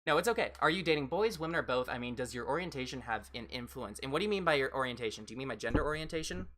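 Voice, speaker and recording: A woman with an American accent and a fairly deep voice, chatting casually as a livestream host and replying to viewers in a rambling, reassuring way. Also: animated, racing, background noise. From United States.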